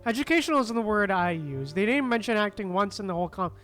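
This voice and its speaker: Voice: medium pitch, lively intonation, fast, fairly bright tone. A streamer giving casual, laid-back let's-play commentary and reading game text aloud. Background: game music.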